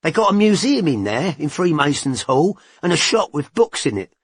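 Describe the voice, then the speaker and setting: A man voicing a cocky, smug man with a husky voice, in an audiobook, blunt and slangy. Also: on the high side, sing-song, normal speed, no room sound, clean studio sound, voice pushed up, exaggerated voice. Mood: gossipy. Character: cocky working-class English man, chatty, smug. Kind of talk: audiobook character voice.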